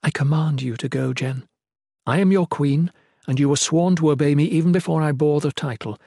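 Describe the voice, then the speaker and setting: Man voicing a desperate young queen, pitched high. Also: brisk, creaky, warm tone, no echo, studio quality. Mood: angry, commanding.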